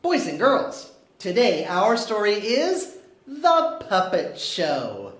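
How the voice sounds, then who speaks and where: A woman teacher leading a story time for young children, asking simple questions in a sing-song, carefully enunciated way. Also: mid-range voice. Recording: roomy echo.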